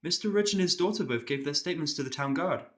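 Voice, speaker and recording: A man's voice: on the high side, animated, fast, voice pushed up, close to an everyday voice. A man voicing a polite, timid female nurse pony, earnest and deferential, in an amateur audio drama. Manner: deferential, explanatory.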